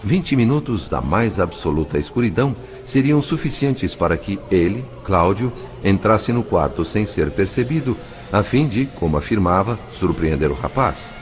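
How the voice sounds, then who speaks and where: A man with a Brazilian accent narrating an audio novel in a solemn, measured, dramatic storytelling style. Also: on the low side, expressive. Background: music.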